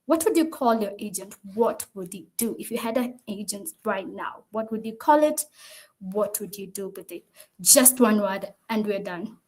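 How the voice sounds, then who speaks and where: A woman's voice: medium pitch, lively intonation, moderate pace, a little mellow. Woman moderating a panel. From Kenya.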